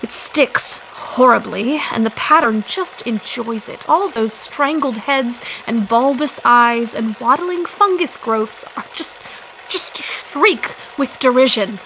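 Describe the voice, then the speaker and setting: A high-pitched, slow-talking young wife coming unhinged in an audio drama. She confides obsessively, slipping between hushed secrecy and eerie singsong, on a hissy found-tape recording. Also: lively intonation, full character voice. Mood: agitated, disgusted. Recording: noisy lost-tape recording.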